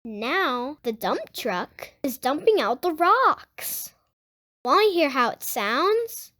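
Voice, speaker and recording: High-pitched child reading a storybook, very animated. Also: slow. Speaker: host of a children's read-aloud book channel. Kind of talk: storybook read-aloud with enthusiastic channel intro and sign-off.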